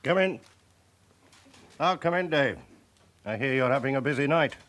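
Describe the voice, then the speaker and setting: A man acting a businesslike chief inspector in a radio drama, speaking in a measured way. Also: mid-range voice, lively intonation, a bit bright, lightly acted. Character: male senior police chief inspector, steady and businesslike.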